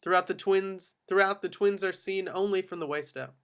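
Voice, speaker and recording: A man's voice: high-pitched, normal speed, a bit bright, clean tone. A man reading out screenplay action directions as scripted narration at a table read. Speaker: action-line reader.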